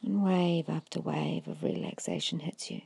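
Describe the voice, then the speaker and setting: Woman doing asmr hypnosis, super breathy whisper w some crackly fry, slow n soothing, gives gentle instructions. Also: low voice, huge pitch swings. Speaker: hypnotist guiding a meditation. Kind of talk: ASMR guided hypnosis meditation.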